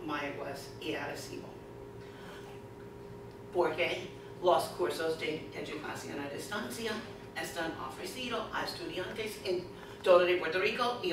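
Slow, careful woman in echoey room. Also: on the low side. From United States. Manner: halting non-native Spanish, slow and careful. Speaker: librarian presenter. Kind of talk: conference presentation. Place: classroom, indoors. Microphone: far camera. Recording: echoey classroom from far off, noisy.

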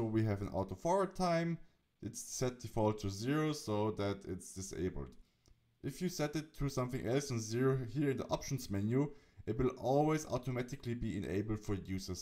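A man presenting a software tutorial, narrating the setup step by step. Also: fairly low pitch.

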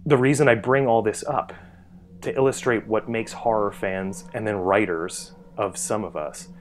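A man's voice: on the low side, a little hiss or hum. A man in his forties reading a scripted first-person essay in a storytelling style. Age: 40s. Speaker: writer narrating a personal essay.

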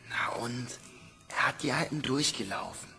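An actor playing a protective, matter-of-fact orphan boy in a hushed bedtime scene of an audio drama. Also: medium pitch, normal speed, creaky, over music, natural voice. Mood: hushed, reassuring. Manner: hushed, down-to-earth.

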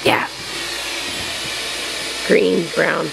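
Gravelly, hoarse woman chatting on camera. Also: lowish voice, little pitch movement, extremely slow, heavy background noise. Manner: chatty and rambling, many fillers, reassuring. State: losing her voice from allergies. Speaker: dog breeder filming her puppies. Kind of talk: casual chat to camera. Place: indoor puppy room with wood floor. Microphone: handheld camera mic, moving around.

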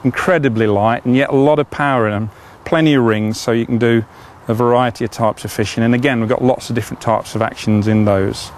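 A man's voice: mid-range voice, steady tone, a little fast, few pauses. Chatty man showing fishing rods. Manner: enthusiastic, chatty, frequent "uh" fillers. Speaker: fishing tackle presenter. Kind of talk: product demonstration.

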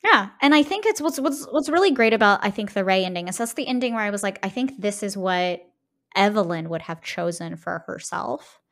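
A woman's voice: medium pitch, expressive, a little fast, a bit bright. A woman co-hosting a podcast, thinking aloud informally as she analyses in casual discussion. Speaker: podcast host.

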